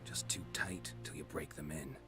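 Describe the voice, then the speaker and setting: A gruff, terse monster hunter. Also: male voice, normal speed, over music. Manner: terse, flat, low-key delivery. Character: gruff seasoned male monster hunter, dry and blunt. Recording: game cutscene with loud score behind.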